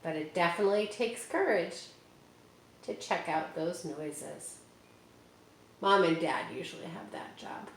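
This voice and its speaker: Woman reading a picture book aloud, slow, in a roomy space. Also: on the low side. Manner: animated, talks directly to young listeners. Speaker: children's book reader. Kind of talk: read-aloud storytime with chatty asides to kids. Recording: roomy echo, faint ambient hiss.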